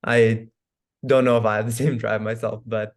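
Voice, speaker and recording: A man's voice: on the low side, flat delivery, normal speed. A young man hosting a podcast interview, asking questions hesitantly with many fillers. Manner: hesitant, full of fillers like "like" and "I guess". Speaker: podcast host. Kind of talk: casual interview.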